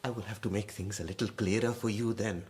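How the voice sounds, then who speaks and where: An actor playing an embittered moneylender in a radio drama, with a deliberate, insinuating, formally polite menace. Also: male voice, fairly low pitch, fairly even intonation, darkish tone, close to an everyday voice. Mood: cold interrogation. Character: embittered, menacing Indian moneylender and lowly clerk, a father.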